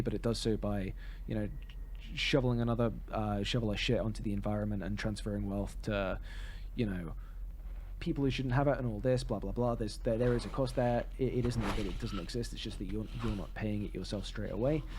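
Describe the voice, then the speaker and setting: A man's voice: lowish voice, darkish tone, slight background noise. A man co-hosting a podcast in casual, opinionated discussion, rambling and often correcting himself mid-sentence. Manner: hesitant, rambling, self-correcting. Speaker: podcast co-host.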